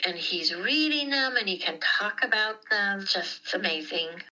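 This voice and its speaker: A woman's voice: medium pitch, a little slow, sharp tone, poor audio. A middle-aged woman speaking candidly and reflectively in a conversational interview, repeating words for emphasis. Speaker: interviewee, mother of the story's subject.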